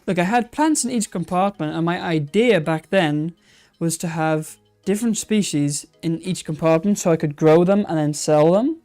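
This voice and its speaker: Soft-spoken hesitant aquarium streamer. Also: medium pitch, normal speed, faint music behind. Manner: hesitant, thinking aloud with many restarts; reads out and answers viewer comments. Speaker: aquarium channel host. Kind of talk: casual live-stream chat reacting to old videos.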